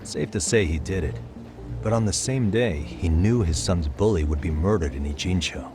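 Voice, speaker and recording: An actor playing a calm, sharp male detective in a video game cutscene, talking through a case in a level-headed, deductive way. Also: sits low, moderate pace. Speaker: private detective. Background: background music.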